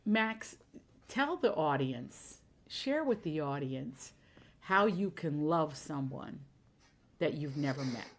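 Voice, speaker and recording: A woman's voice: sits low, expressive, takes it slowly. A woman hosting an interview, effusive and enthusiastic toward her guest. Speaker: host. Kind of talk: interview conversation.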